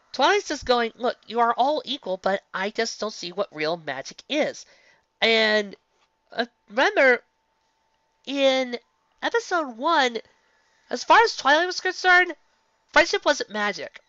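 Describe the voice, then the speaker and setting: Lively, bright-voiced woman rambling on a fandom. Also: no room sound, muffled recording. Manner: rambling, with frequent restarts and self-corrections; animated, jumping up in pitch for emphasis. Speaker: fan fiction reader and commentator. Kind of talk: casual commentary on a story reading.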